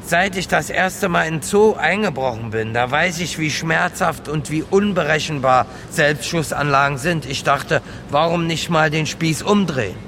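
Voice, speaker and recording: Male actor as homeless street beggar, radio drama. Fairly high voice, modest and matter-of-fact, faint rumble behind. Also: normal speed, few pauses, close to an everyday voice. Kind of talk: radio drama, interview-like testimony.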